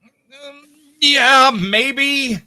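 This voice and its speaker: An actor playing a frail, distraught old uncle in a radio play, stammering and helpless. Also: male voice, extremely high pitch, extremely slow, pushed and bright, full character voice. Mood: distraught, confused.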